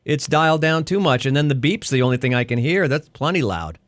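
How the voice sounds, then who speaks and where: A man's voice: a little high, brisk, no room sound. A man co-hosting a radio show, bantering casually in a wry, needling way into a studio mic. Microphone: close studio microphone.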